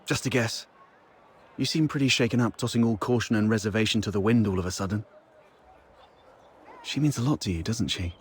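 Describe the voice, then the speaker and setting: A man voicing a calm, shrewd, cautious ally in a video game, speaking in a measured, reflective way. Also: on the low side, breathy edge. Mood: probing, cautioning.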